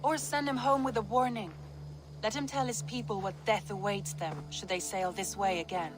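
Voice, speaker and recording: An actress playing a diplomatic viking advisor who speaks in a calm, reasoning way. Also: female voice, medium pitch, moderate pace, close to an everyday voice. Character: female viking advisor, level-headed and diplomatic. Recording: game cutscene over music, a little distant.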